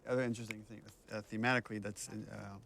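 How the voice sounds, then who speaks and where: A man with a fairly low voice gives director's commentary. He explains things casually and thoughtfully. Game audio and a little noise sit faintly behind him. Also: level tone, racing, gravelly, fairly bright tone. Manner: reflective, explanatory. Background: game cutscene audio.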